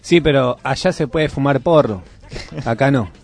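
Dude co-hosting radio, mid-pitched voice, casual, faint music behind. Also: male voice, normal speed, gravelly, darkish tone. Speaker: radio show co-host. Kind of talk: casual chat.

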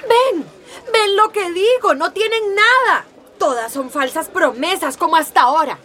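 A woman's voice: very high voice, unhurried, bright, forceful tone, a faint crowd, a little hiss or hum, full character voice. A woman playing a scornful, argumentative townswoman in a radio drama, haughty and exclamatory. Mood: indignant. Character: scornful, indignant townswoman campaigning against migrants. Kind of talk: Spanish-language radio drama acting.

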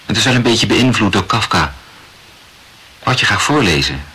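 An actor in a radio play playing a chatty, self-absorbed young amateur writer who rambles and corrects himself insistently. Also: male voice, fairly low pitch, expressive, normal speed, bright, forceful tone, husky, heavily acted. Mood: insistent, self-conscious. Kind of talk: literary radio play dialogue.

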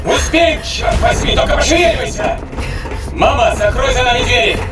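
An actor playing a commanding spaceship captain, urgently barking orders in an audio drama. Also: male voice, extremely high pitch, normal speed, distant mic. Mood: urgent, shouting. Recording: roomy, off-mic, under loud music and effects.

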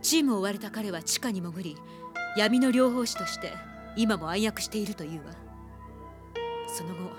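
A woman's voice: medium pitch, animated, normal speed, music in the background, natural voice. Composed actress as a female ex-police profiler. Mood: cool, pointed. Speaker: voice actor. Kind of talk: anime radio drama acting.

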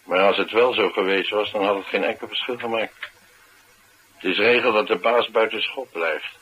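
An actor playing a tough, cynical, streetwise bodyguard in a radio play, talking in a colloquial, matter-of-fact way. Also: male voice, fairly low pitch, moderate pace, pushed and bright, a little hiss or hum, rough recording. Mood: wry, candid. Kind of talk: naturalistic radio drama dialogue.

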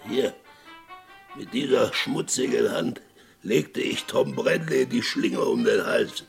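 An actor playing a boastful, haunted, whisky-drinking old former sheriff in his eighties, halting and dramatic, in a German western radio play. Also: mid-range voice, full character voice. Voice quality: gravelly. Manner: halting, repeating himself, dramatic.

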